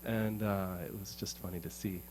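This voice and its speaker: Earnest, rambling man with a lowish voice. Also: steady tone, moderate pace, darkish tone, slight background noise. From United States. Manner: rambling and earnest, repeats words as he thinks. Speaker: guitarist performing for an audience. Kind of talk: casual storytelling between songs. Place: music showroom.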